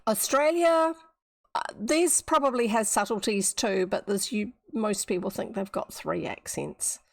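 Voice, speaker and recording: A woman with a New Zealand accent reading a fairy tale aloud on a livestream, breaking off often for chatty asides and explanations. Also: medium pitch. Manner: chatty, digressive, explains old words.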